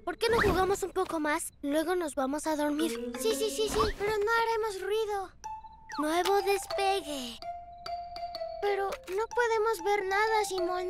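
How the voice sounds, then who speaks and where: A woman voicing an eager, brave little boy bunny superhero in a Latin American Spanish cartoon dub. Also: very high-pitched. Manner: eager, bold, childlike. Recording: loud music behind.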